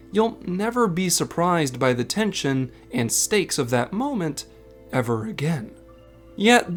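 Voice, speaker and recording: A man delivering scripted narration as the host of a video essay. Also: fairly high pitch. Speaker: video essay narrator and game content creator.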